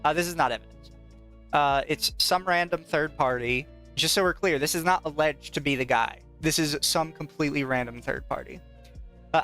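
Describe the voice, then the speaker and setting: A man co-hosting a podcast in casual chat, often reading aloud with a mocking, profane manner. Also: a little high. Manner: mocking, profane, laughs easily. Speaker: podcast co-host. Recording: soft background music.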